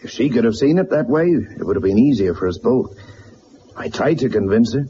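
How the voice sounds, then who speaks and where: An actor playing a proud, wealthy middle-aged businessman, speaking bluntly and haltingly, as if confessing, in a radio drama. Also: medium pitch, mellow, lightly acted. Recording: dull, noisy old radio drama recording.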